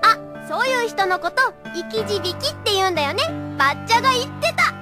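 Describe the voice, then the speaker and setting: An actress playing an excitable, childlike anime girl in an audio drama, exuberant and blurting her words. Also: extremely high pitch, fast. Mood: amazed. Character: excitable, nosy, childlike anime girls, naive or imperious. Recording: music behind the voice.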